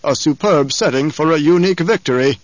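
A man voicing a fat, scheming baron villain in an audiobook, with a rumbling, growling basso and a grandiose, savouring delivery. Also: fairly quick, no echo, dull audio, lightly acted. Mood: gloating.

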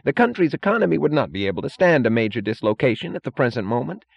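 Man doing a timid, pleading high-voiced official. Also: wildly swooping pitch, normal speed, muffled recording. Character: timid, pleading male official, anxiously appeasing.

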